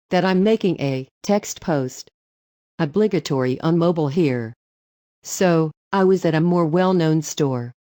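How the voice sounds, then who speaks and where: A woman narrating online stories in an even, matter-of-fact reading voice. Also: deep voice, no echo, studio quality.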